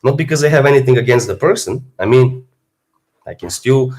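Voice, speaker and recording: A man, hoarse from a cold, talking bluntly and philosophically in a casual livestream monologue as a fitness streamer. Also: medium pitch, animated, brisk, background noise. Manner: blunt, philosophizing, swears freely.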